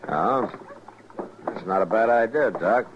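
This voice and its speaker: An actor playing a stoic, watchful Old West marshal, American, terse and grave, in an old-time radio western. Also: male voice, on the low side, lively intonation, slow. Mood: dry. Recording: dull, hissy old radio recording.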